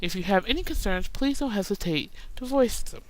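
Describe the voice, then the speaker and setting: A woman streaming a video game, commenting casually and reading game text out loud. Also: medium pitch, expressive, brisk. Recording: noisy stream capture with clicks.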